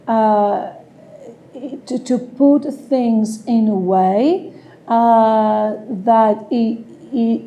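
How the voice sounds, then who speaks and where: A woman with a Greek accent giving a tarot reading, speaking hesitantly and searching for words with frequent pauses and fillers. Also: fairly high pitch, dark tone. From Greece. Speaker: tarot reader. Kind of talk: slow, halting tarot reading with many fillers.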